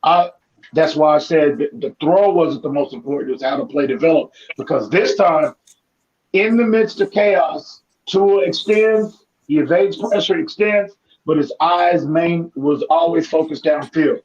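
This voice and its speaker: A man with a raspy, hoarse voice giving animated, detailed football analysis in casual talk. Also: on the high side, measured pace, highs cut off. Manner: animated, analytical, many restarts.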